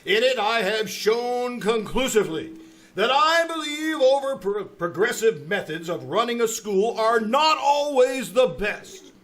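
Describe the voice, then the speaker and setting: An actor playing a flustered, blustering middle-aged school principal, stammering and exasperated in old-time radio comedy style. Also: male voice, very high-pitched, lively intonation, measured pace, a bit bright, full character voice. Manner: stammering, exasperated, pompous outbursts. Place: live on a small theater stage.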